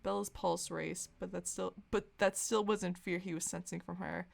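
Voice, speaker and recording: A woman with a slightly low voice hosts a comedy podcast. She reads fan fiction aloud as mock-serious drama. Amusement often colours the narration. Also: steady tone, normal speed, gravelly. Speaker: podcast host.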